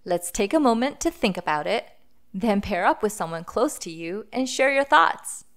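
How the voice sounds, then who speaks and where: A woman hosting a children's phonics read-aloud, speaking deliberately and sounding out letters and words for young listeners. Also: mid-range voice, lively intonation.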